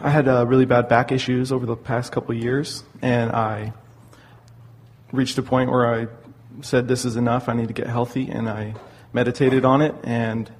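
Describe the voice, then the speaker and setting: A man asking an earnest personal question as an audience member, speaking in a hesitant, halting way. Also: mid-range voice, little pitch movement, a little hiss or hum.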